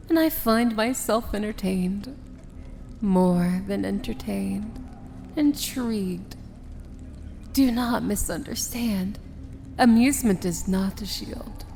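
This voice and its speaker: A woman's voice: mid-range voice, darkish tone, a little hiss or hum. A woman playing an imperious, amused princess of evil in a fantasy ASMR roleplay, speaking in a theatrical, sardonic manner. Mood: cool, warning. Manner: slow, theatrical, grandly sardonic.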